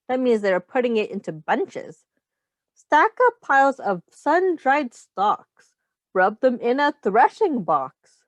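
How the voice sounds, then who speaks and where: Slow, high-pitched woman reading to young children. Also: no room sound, muffled recording. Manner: instructive, pointing out rhymes and addressing young children directly. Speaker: early childhood teacher reading a picture book aloud. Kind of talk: children's read-aloud with simple explanations and questions.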